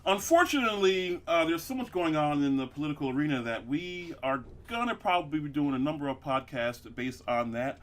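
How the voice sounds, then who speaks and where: A man's voice: on the high side, sharp tone. A man hosting a casual comedy podcast, rambling with many fillers and restarts and laughing at his own jokes. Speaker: podcast host.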